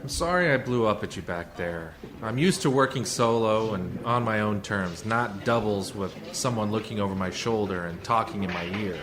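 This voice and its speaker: A man's voice: lowish voice, moderate pace, hardly any pauses, over music, lightly acted. A man acting in a radio play as a blunt, self-assured civilian interrogator and psychologist who talks in a dry, cutting way. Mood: dry, conciliatory. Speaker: actor.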